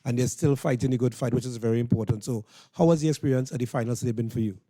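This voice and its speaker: A man's voice: medium pitch, racing, mellow, no echo. A man with a Trinidadian accent hosting informal interviews with performers at an event. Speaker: interviewer and host.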